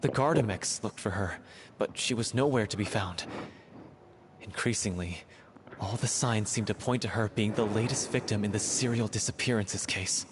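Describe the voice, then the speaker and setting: A man playing an earnest, grieving young stage actor in a video game, speaking in a measured, dramatic way. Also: on the low side, background noise, lightly acted. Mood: somber. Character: earnest grieving young theatre troupe actor, heartfelt storyteller.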